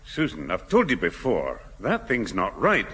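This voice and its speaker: A man's voice: fairly low pitch, huge pitch swings, unhurried, pushed and bright, exaggerated voice. An actor playing a long-suffering, older grandfather who grumbles and scolds in a 1970 radio sitcom. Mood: scolding, grumbling. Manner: grumbling, admonishing. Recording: very noisy old radio recording, echoey hall.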